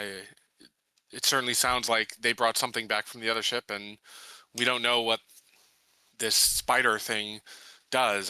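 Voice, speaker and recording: A man talks in character during a tabletop game. His voice is fairly low yet extremely bright and sharp. The delivery is casual and the sound is close and dry. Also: clean tone, no echo, rough recording. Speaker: tabletop roleplaying player. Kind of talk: casual in-character roleplay talk.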